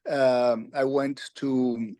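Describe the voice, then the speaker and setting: A man in his sixties from Peru, a retired UN official telling his career story in an interview, with halting speech full of fillers and restarts. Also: medium pitch, little pitch movement, warm tone, dry recording, studio quality. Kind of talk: conversational interview.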